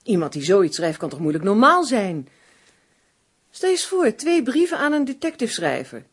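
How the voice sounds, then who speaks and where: Sceptical female crime novelist in radio drama; husky, animated, bossy, no patience for nonsense. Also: medium pitch. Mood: dismissive. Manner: dismissive, bossy, brisk. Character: sharp, sceptical woman co-writing crime novels with her partner.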